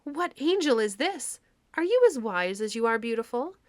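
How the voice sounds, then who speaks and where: A woman's voice: fairly high pitch, sing-song, normal speed, a little mellow, no room sound, pitched above the performer's own voice. A woman voicing a tender, gushing fairy queen in a storybook reading, sweetly imperious as she dotes and commands. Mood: adoring.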